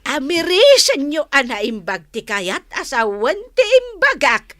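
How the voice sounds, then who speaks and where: A woman's voice: sits high, big pitch swings, a little slow, fairly bright tone, no echo, studio quality, heavily acted. A woman playing an earnest, motherly older storekeeper who gives emphatic, admonishing advice in a Filipino radio drama. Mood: insistent advice.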